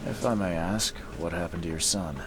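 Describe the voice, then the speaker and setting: Low-voiced private investigator. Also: male voice, normal speed. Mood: polite, probing. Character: polite, persistent, world-weary male private investigator. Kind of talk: video game dramatic acting. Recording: game audio with clear background noise.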